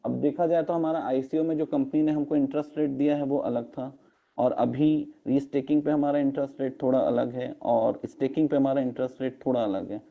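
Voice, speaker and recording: A man with a hoarse throat walking his viewers through interest figures on a spreadsheet, often calling them friends. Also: mid-range voice, fairly even intonation, a little fast, phone-quality sound. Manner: repeated "friends" address, mixes English terms into Hindi. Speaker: crypto earnings explainer. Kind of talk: screen-share walkthrough of a spreadsheet. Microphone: online meeting audio.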